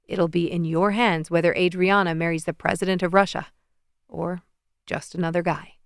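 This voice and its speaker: Audiobook male lead, played by a woman narrator: smooth, low-key, playful young suitor. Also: little pitch movement, normal speed, no echo, studio quality, close to an everyday voice. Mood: teasing. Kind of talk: audiobook character voice.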